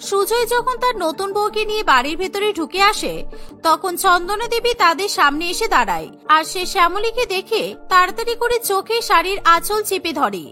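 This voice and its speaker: A woman's voice: extremely high pitch, big pitch swings, fairly quick, bright, forceful tone, soft background music. A woman narrating a scripted cartoon story.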